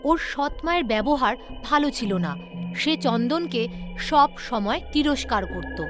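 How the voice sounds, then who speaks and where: A woman's voice: sits high, animated, measured pace. A woman narrating a scripted story as the narrator. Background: background music.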